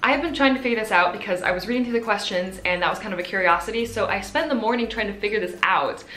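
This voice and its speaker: A woman in her twenties with a soft, quiet voice, chatting casually to camera as a video host. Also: mid-range voice, fast. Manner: soft-spoken, chatty with fillers. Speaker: YouTuber. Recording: roomy echo.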